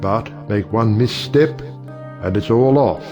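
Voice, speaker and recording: A man playing a genial, scheming older judge in an audio play, speaking in smooth, orotund speeches. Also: fairly low pitch, slow pace, over music. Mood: brisk, scheming.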